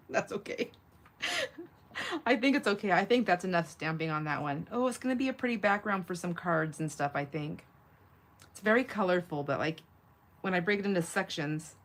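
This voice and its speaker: A woman's voice: lowish voice, expressive, moderate pace, pauses often. A woman hosting a casual craft livestream, chatting and thinking aloud in a rambling way, with a slightly nasal voice. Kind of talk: casual live chat while crafting.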